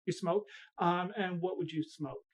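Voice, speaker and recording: A woman's voice: lowish voice, fairly even intonation, measured pace, husky. A woman conducting a health intake interview, asking structured questions and echoing back each answer.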